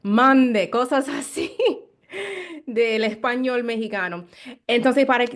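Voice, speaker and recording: Slow-paced American woman interviewer. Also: a little high. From Chicago, United States. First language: English. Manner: halting second-language speech, searching for words. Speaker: interviewer, host. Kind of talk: casual conversational interview in learned Spanish.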